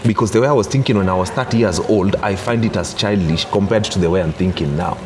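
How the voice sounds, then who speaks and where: A man's voice: deep voice, fast, noisy background. A Kenyan man in his thirties, a gospel DJ giving an interview, talking emphatically and often checking that he is understood. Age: 30s. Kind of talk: casual interview, mixing English and Swahili.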